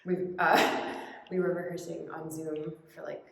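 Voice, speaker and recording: A woman's voice: deep voice, level tone, unhurried, creaky, fairly bright tone. A woman actor chatting casually during a recording session. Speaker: actor in an audio drama. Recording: roomy, faint background noise.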